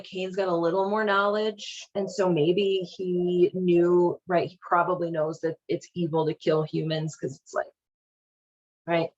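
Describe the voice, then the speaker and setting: A woman giving casual, irreverent commentary as a host, with a sardonic, halting, colloquial delivery. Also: fairly low pitch, lo-fi sound. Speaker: host of a video series on leaving religion.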